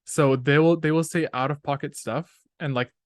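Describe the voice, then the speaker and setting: Young male guest from Canada; slangy and easygoing, higher voice, dry close sound. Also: fairly even intonation, normal speed, dry recording. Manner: laid-back, slangy, laughs easily. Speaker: podcast guest. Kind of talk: casual conversation.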